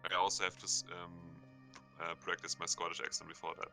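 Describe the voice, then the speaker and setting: Low-voiced joking dungeon master. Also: male voice, level tone, fast talker, gravelly, sharp tone, soft background music. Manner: joking, self-interrupting. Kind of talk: narrating a tabletop game.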